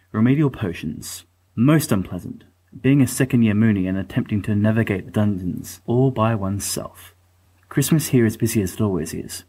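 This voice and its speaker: Mellow, low-voiced wry bookish schoolboy played by a man. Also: husky, low-quality recording. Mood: dry. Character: bookish, dryly witty teenage schoolboy letter writer. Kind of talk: reading letters aloud in character, audiobook-like fan recording.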